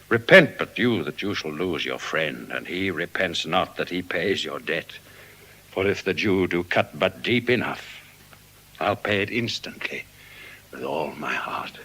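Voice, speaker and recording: Classical stage tragedy: resigned noble merchant, deep and forceful, measured dignity. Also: male voice, exaggerated voice. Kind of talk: classical Shakespearean stage acting.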